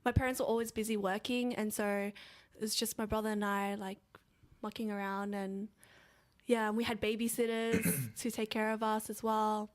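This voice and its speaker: A woman's voice: mid-range voice, little pitch movement, moderate pace. A young woman, a podcast guest, chatting casually and rambling with many fillers and restarts. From Australia. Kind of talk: casual chat.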